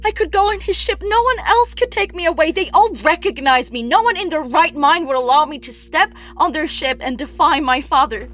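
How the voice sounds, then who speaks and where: A woman's voice: sits high, a little fast, hardly any pauses. A woman playing an anguished, guilt-ridden young princess in a poetic stage tragedy, intense and rhetorical. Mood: desperate. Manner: intense, rhetorical, swinging between outcry and hush. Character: anguished young Cretan princess racked with guilt over brother's death.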